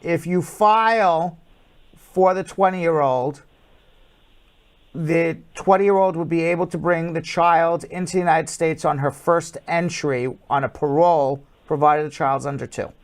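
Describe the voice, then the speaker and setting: A man hosting a live call-in show as an immigration lawyer, giving brisk, blunt, matter-of-fact advice to callers. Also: fairly high pitch, steady tone.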